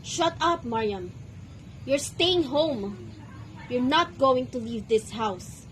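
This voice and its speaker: A woman's voice: high-pitched, expressive, slow, a little hiss or hum, rough recording. A woman playing a stern, bitter mother who scolds her daughter, acted in a student radio drama. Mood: angry.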